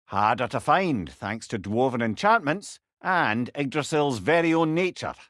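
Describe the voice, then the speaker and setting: An actor voicing a clever, chatty old companion in a video game, with a Scottish accent and a wry, eloquent way of talking. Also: male voice, medium pitch, expressive, a little slow, a bit bright, dry recording, clean studio sound. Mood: thoughtful, explaining. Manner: wordy, eloquent, wry storyteller. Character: clever, chatty, sardonic old male severed head companion. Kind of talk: video game character dialogue.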